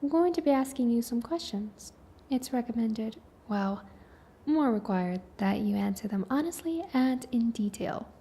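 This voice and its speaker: A woman playing a composed official who interviews an invasion survivor, with soft, measured, polite questions in an ASMR roleplay. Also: on the high side, expressive, breathy edge. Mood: calm, businesslike.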